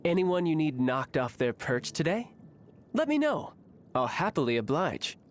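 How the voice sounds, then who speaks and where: A man's voice: fairly high pitch, big pitch swings, measured pace, a little hiss or hum. An actor voicing a cocky, playful, battle-hungry young male warrior for a video game, with a breezy, boastful, theatrical delivery. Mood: cheerful, breezy. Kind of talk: video game character voice lines. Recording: music behind the voice.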